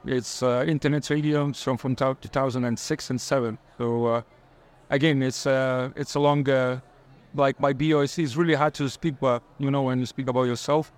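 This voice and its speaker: A man's voice: medium pitch, steady tone, normal speed. A man from Lithuania, a panel guest, rambling and searching for words as he talks about himself. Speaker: panel guest, event organizer and DJ. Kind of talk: casual self-introduction.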